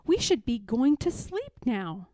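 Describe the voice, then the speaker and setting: A woman's voice: on the high side, sing-song, slow pace, mellow, dry recording, clean studio sound. A woman voicing a loyal, eager male frog in a children's storybook, obliging and encouraging. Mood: gentle, apologetic.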